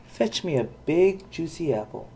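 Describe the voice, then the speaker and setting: A reader voicing a kindly old fairy godmother horse who gives calm commands in a children's storybook. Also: mid-range voice, moderate pace, vocal fry, slightly rough, voice pushed up, poor audio. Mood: calm, commanding. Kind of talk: children's storybook read-aloud.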